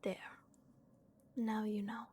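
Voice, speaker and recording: A woman voicing a gentle, remorseful vampire guardian in a breathy, hushed whisper, as an ASMR roleplay. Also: mid-range voice, little pitch movement. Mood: somber, confessional. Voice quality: breathy, whispered with creaky edges. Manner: slow, hushed, hesitant pauses. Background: dark castle ambience.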